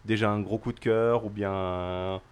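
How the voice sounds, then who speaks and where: A man's voice: on the low side, steady tone, unhurried, clean tone. Joking, rambling young male host. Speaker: podcast host. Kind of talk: casual chat.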